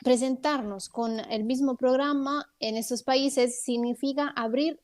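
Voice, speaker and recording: A woman with an Italian accent answers interview questions in a fairly high voice. She explains her points step by step, often pausing on small hesitant fillers. Italian is her first language, which colors her delivery. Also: fairly even intonation, darkish tone. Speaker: guest politician.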